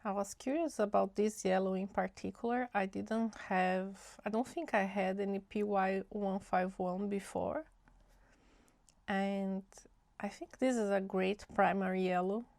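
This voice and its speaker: A young woman talks slowly through a relaxed painting tutorial, her voice nasal from a cold and edged with vocal fry. She thinks aloud in a chatty, easygoing way. The recording is close and dry. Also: medium pitch, dry recording. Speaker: art channel host. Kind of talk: casual watercolor swatching tutorial.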